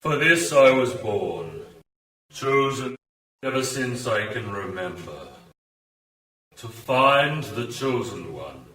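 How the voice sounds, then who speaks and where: A man's voice: medium pitch, big pitch swings, sharp tone, full character voice. A man playing a devout, portentous monk of a secret order in a science-fiction radio play. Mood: solemn, reverent. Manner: slow, portentous. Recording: echoey, distant radio play recording.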